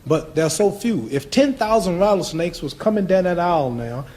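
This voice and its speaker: A man's voice: high-pitched, expressive, normal speed, darkish tone. A man speaking in a rhetorical, oratorical style. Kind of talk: public oratory.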